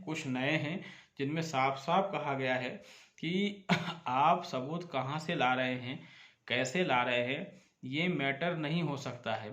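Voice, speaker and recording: A man's voice: a little high, a bit bright. A man explains law informally to viewers as a host and advocate, arguing emphatically and posing rhetorical questions. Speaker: advocate giving legal advice as channel host. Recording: echoey, roomy sound.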